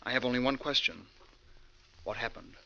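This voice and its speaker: An actor playing a polite, businesslike superintendent in a 1940s radio drama, speaking in a measured official manner. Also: male voice, medium pitch, gravelly, bright, forceful tone, full character voice. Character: businesslike, polite institution superintendent taking a statement. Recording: dull, faintly noisy vintage radio recording.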